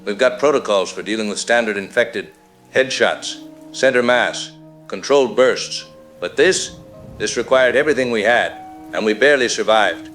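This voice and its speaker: A man playing a shaken veteran Marine colonel, grave and halting, reporting from the field in an apocalyptic radio drama. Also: lowish voice, a bit bright, faint music behind, close to an everyday voice. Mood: grim, haunted.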